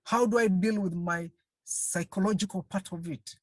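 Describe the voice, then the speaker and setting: Husky-voiced counsellor asking rhetorical questions. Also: medium pitch. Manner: asks the audience many rhetorical questions; repeats phrases, slow and halting. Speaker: counselling trainer. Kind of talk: online webinar talk. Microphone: video call.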